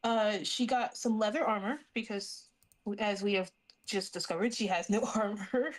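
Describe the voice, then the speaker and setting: A woman's voice: mid-range voice, normal speed. Casual woman player in a tabletop game.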